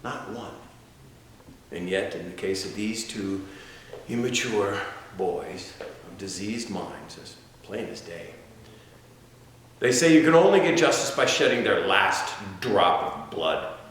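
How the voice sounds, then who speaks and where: In a very echoey room, a man acts out an older male defense lawyer delivering a theatrical courtroom plea. He speaks slowly and with great weight, his pitch swinging widely. His oratory is impassioned, full of dramatic pauses and swells. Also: animated, a bit bright. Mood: grave. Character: veteran male defense lawyer pleading passionately for young clients' lives. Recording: very echoey room, faint noise.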